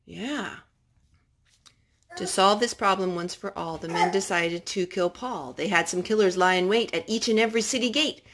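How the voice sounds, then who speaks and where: A woman reading children's picture books aloud in an expressive, measured storytime style. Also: mid-range voice. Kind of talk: read-aloud storytime narration. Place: indoors at home.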